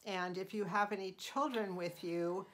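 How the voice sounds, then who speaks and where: A middle-aged woman hosting her own channel, talking through casual tips in an unhurried, chatty way with frequent ums and uhs. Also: medium pitch, steady tone. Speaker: channel host. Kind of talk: casual vlog voice-over.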